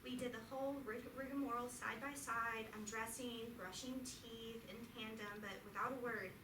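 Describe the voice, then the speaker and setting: Woman playing a middle-aged wife, high voice, coaxing and frank, echoey theatre from far off, noisy room. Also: fairly even intonation, normal speed, lightly acted. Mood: earnest. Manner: earnest stage reading, rising to exasperated outbursts. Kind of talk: staged play reading. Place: theatre auditorium. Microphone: distant stage pickup.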